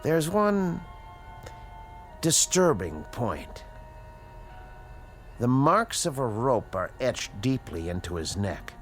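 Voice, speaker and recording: Slow, swooping, formal scientist. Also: male voice, medium pitch, very animated, soft background music. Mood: uneasy, grave. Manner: precise, formal, measured diction with dramatic pauses. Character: educated, uneasy male scientist, inventor of a time machine. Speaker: radio drama actor. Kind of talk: radio drama acting, dialogue and dictated log narration.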